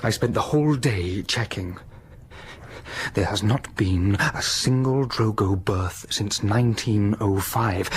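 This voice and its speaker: A man acting as a troubled English clergyman in a radio play. He speaks in a mid-pitched voice with earnest urgency and a strong conscience. There is faint noise on the recording. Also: lightly acted. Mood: earnest, grave.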